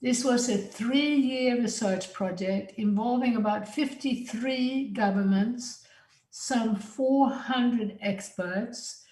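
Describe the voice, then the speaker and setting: A woman from Sweden speaks at a conference in an earnest, deliberate way, pleading her case. Her voice sits a little high and she takes her time over every phrase. The sound rings around an echoey hall. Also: darkish tone. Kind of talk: prepared conference talk.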